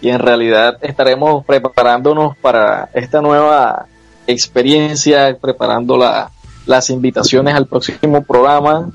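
A man's voice: medium pitch, no echo, lo-fi sound. A man co-hosting a live radio show, agreeing with the host and inviting listeners to share the next program.

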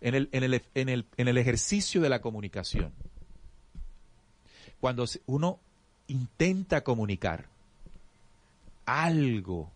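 Soft-spoken man explaining spiritual ideas. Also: mid-range voice, expressive, unhurried, long pauses, no echo. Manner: reflective, explanatory, with many restarts; addresses the audience as "usted". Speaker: spiritual teacher. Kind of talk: conversational teaching on a show.

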